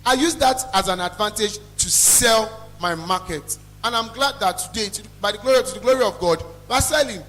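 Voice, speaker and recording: High-pitched, bright-voiced young Nigerian man. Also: normal speed, hardly any pauses, rough recording. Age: young adult. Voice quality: loud, carrying. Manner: emphatic, blunt, repeats phrases for effect. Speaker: small chops business owner, guest speaker. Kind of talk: motivational talk to a student audience.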